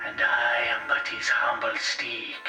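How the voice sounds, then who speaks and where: A man's voice: medium pitch, completely flat delivery, unhurried, reverberant, far from the mic, over music, lightly acted. A man playing an ominous, fanatical tech priest who preaches grandly and menacingly in a cyberpunk audio drama. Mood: fanatical preaching.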